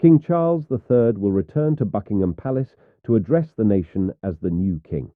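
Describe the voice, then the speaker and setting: A man reading a solemn scripted news bulletin in a formal, measured way, as a news announcer. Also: fairly low pitch, expressive, no echo. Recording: tinny, phone-like narrow band.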